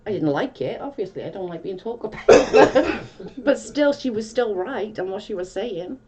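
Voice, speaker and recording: A woman's voice: medium pitch, expressive, normal speed, a little mellow, lo-fi sound, slight background noise. A woman from South Wales with a Welsh accent, chatting casually on a livestream and reading out comments in a rambling way with many restarts.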